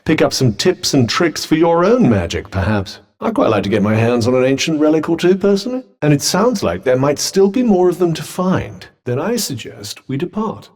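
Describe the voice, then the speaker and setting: An actor voicing a sardonic, cultured talking magic bracelet in a video game, with dry, formal phrasing. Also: male voice, a little high, big pitch swings, normal speed, hardly any pauses, darkish tone. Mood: wry.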